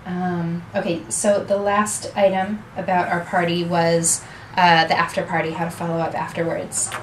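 A young woman leads a workshop in a small conference room, her voice low and fairly flat in pitch. She explains at a steady pace while gesturing with her hands. A faint hiss sits under the camera sound. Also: normal speed, poor audio. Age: young adult. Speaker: workshop presenter. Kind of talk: seminar talk.

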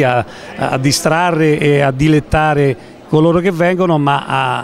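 Older Italian man, 60s, charity president; slightly high voice, slow and earnest, lobby interview on handheld mic. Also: vocal fry. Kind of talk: interview at an event. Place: large indoor lobby of a concert venue.

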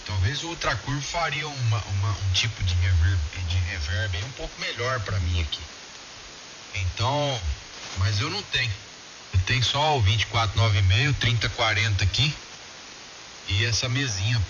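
A Brazilian man chats informally over amateur radio. His pitch swings in a lively way, and the sound is dull, with a clear layer of hiss. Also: moderate pace, recorded from a distance. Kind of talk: casual radio chat.

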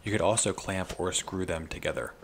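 A man's voice: low voice, a little fast, a little hiss or hum. A young man narrating his woodworking project in a plain, matter-of-fact voice-over, step by step. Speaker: DIY woodworking channel host.

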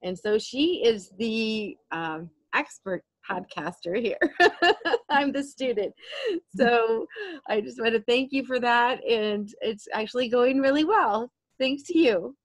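A woman with a fairly high voice hosts a podcast interview, friendly and eager, a little halting at times. The sound is dry and close, with no room echo. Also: lively intonation. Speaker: podcast host. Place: indoors, home room.

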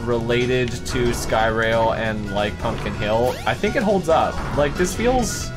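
A young man co-hosts a let's play with casual commentary. His pitch moves around in a lively way. Video game music plays clearly behind him. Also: measured pace.

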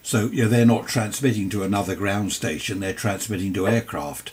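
A man's voice: lowish voice, fairly quick, darkish tone. An older man with a southern English accent, chatting casually through an unscripted demonstration, rambling with little asides and questions to himself. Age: older, 60s or 70s. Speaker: amateur radio hobbyist presenting a video. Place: cluttered indoor home radio workshop.

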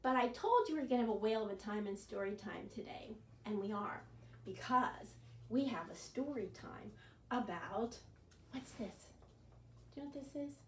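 A woman in her sixties, a children's librarian leading an animated, sing-song storytime from an armchair in a living room. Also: medium pitch, moderate pace, a little hiss or hum. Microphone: camera at a distance. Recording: echoey room, far from the mic.

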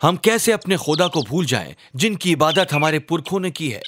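A man's voice: on the high side, sing-song, moderate pace, noisy background, pitched above the performer's own voice. A man voicing a defiant, mocking tribesman in a children's storybook tale, challenging and scornful. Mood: indignant. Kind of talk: storybook character quotes.

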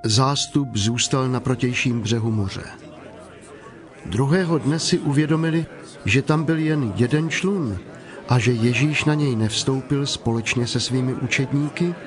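A man narrating scripture as a measured storyteller from a script. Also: mid-range voice. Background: prominent music.

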